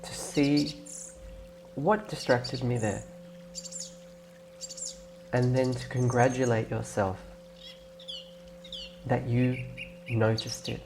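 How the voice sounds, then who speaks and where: A man leading a guided meditation in a gentle, instructing way, leaving long pauses between phrases. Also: mid-range voice, steady tone, noisy background. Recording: clear birdsong and nature ambience behind. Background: birdsong, nature ambience.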